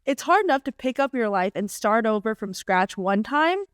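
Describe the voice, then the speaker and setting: A woman's voice: on the high side, animated, moderate pace, clear, resonant tone, no room sound, clean studio sound. A woman hosting an interview podcast, effusive and gushing, with many casual fillers.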